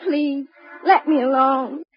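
An actress playing a young nursemaid, sassy and easily upset, in a 1950s radio drama. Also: female voice, sits high, fairly even intonation, slow pace, faint music behind. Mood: shaken, pleading. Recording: muffled, noisy old radio recording.

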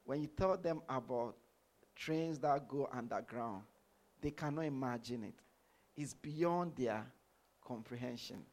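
A man's voice: mid-range voice, unhurried, darkish tone. A man from Ghana preaching a sermon as pastor, saying amen after nearly every sentence.

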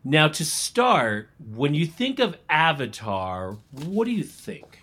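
Grand PR pitchman, unhurried, very varied intonation, salesy, dramatic pauses. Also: very animated, a bit bright, exaggerated voice. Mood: grand pitching. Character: grandly earnest male PR pitchman. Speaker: publicist. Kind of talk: audio comedy drama acting.

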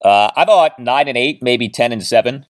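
A man's voice: mid-range voice, animated, moderate pace. A man hosting a sports podcast, asking interview questions and recapping news.

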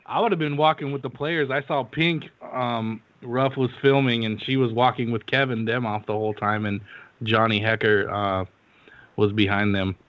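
A man's voice: medium pitch, steady tone, moderate pace, fairly bright tone, no room sound. A man co-hosting a podcast, trading crude, teasing banter. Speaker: co-host. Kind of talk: casual podcast chat. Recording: dry, slightly dull sound.

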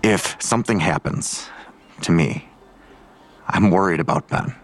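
An actor playing a curt, guarded, world-weary lawman in an audio drama, with blunt, terse delivery and a gravelly voice. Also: low voice, a little slow, exaggerated voice. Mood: grave, urgent. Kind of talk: full-cast audio drama acting.

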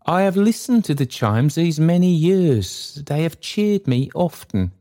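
A humble old man of little means, played by a male narrator, his voice lifted high and thin above a narrator's register. He falters and pleads, deferential and earnest, like a timid soul in a storybook. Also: big pitch swings, measured pace, dark tone. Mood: timid, pleading. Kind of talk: dramatised storybook reading.

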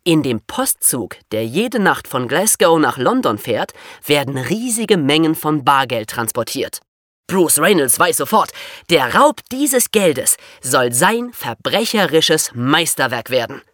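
A man's voice: a little high, very animated, measured pace, a bit bright, no room sound, clean studio sound. A male co-host of a children's podcast, narrating in a storytelling style.

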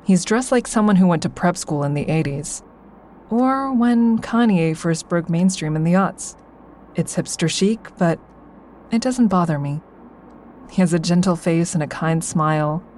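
A woman as a low-voiced, observant narrator. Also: a little slow, darkish tone, slight background noise, close to an everyday voice. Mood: watchful, reflective. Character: observant, guarded woman narrating at her therapy group. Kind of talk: first-person audio drama narration.

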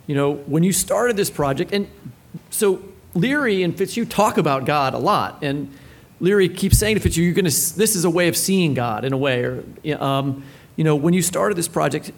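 A man's voice: fairly high pitch, animated, normal speed, creaky. A man co-hosting a live literary interview, informal and thinking aloud as he puts his questions. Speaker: podcast co-host interviewer.

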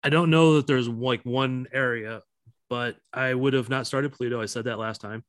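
A man's voice: medium pitch, steady tone, moderate pace, no room sound, studio quality. A man co-hosting a casual sports chat, giving short, blunt opinions. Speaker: podcast co-host.

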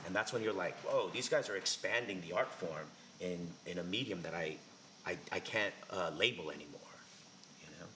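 Effusive man with a bright, forceful voice. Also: sits low, moderate pace, slight background noise. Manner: effusive, stumbling restarts. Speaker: guest. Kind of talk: live podcast interview. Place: live event venue with audience.

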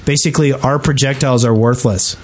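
A man's voice: mid-range voice, fairly even intonation, a little fast, a little mellow. A man co-hosting a gaming podcast in casual conversation, with a somewhat grumbling, argumentative manner. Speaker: podcast co-host. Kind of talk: casual chat about gaming.

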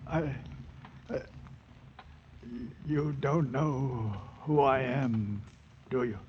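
An actor playing a dazed, half-mad adventurer in an old radio drama, speaking haltingly in a husky, breathy voice. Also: male voice, mid-range voice, a little hiss or hum. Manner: halting, wandering, childlike insistence. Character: broken, half-mad British ex-soldier adventurer, dazed and haunted. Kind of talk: 1940s radio acting. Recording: muffled vintage radio recording.

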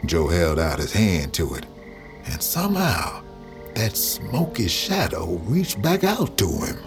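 An actor playing a folksy Southern man who recalls dark events in a halting, drawn-out storytelling manner for an audio drama. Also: deep voice, wildly swooping pitch, over music, exaggerated voice. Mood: haunted, wondering. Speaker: narrator.

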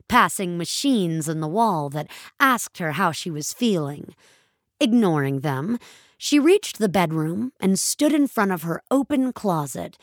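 A woman reading scripted fiction as a narrator, with a measured storytelling delivery. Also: fairly low pitch, no echo, studio quality. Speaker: narrator and reader of an audio story.

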